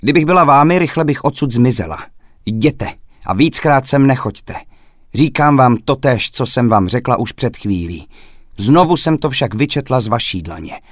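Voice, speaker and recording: A commanding old fortune-teller woman in a storybook reading, put on by a man. She speaks high and forceful, stretching her dire warnings out slowly and insistently. The sound is close and dry, with no room around it. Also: animated, no room sound, natural voice. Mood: ominous. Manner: menacing, insistent warnings, drawn-out slow phrases.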